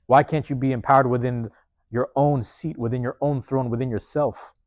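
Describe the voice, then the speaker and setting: A man hosting a podcast from a university room, giving a loose, slangy motivational monologue with lots of repetition, his voice getting a bit hoarse. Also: medium pitch, steady tone, normal speed, darkish tone, dry recording. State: voice going hoarse. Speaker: podcast host.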